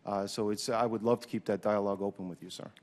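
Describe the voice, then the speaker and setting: A man's voice: fairly low pitch, steady tone, quick pace, a little mellow. A man testifying as a witness, giving unscripted answers at a formal hearing. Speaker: union leader testifying as witness. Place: large indoor hearing room. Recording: echoey hearing room.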